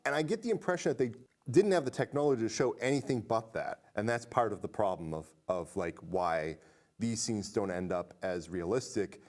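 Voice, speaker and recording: A man hosting a casual movie review podcast, talking conversationally and reading aloud with animated emphasis. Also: on the low side, fairly quick. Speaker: podcast host.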